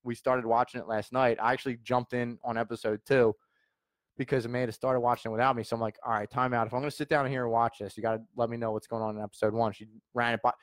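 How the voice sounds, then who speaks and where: A man's voice: medium pitch, flat delivery, fast talker, no room sound. A man co-hosting a podcast, chatting in an easygoing, rambling way about shows. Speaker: podcast co-host. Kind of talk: casual chat.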